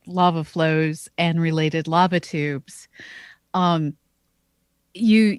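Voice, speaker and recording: A woman co-hosting a science podcast, explaining in a measured, careful way. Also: fairly low pitch, dry recording. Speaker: podcast co-host, astronomer.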